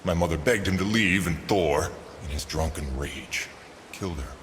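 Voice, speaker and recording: A wrathful, grieving spirit from a video game. The voice is deep and solemn, delivered with theatrical weight, and trails off in long reverb over faint noise. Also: male voice, normal speed, a bit bright. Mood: bitter grief. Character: vengeful, grieving male ghost, solemn and wrathful. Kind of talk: video game cutscene acting.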